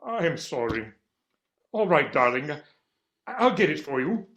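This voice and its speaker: A man's voice: a little high, sing-song, unhurried, fairly bright tone, dull audio. A man playing a stifled, lovestruck English attorney in a comic stage reading, fussy, earnest and self-deprecating. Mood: apologetic, smitten. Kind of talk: comic stage readers theater acting.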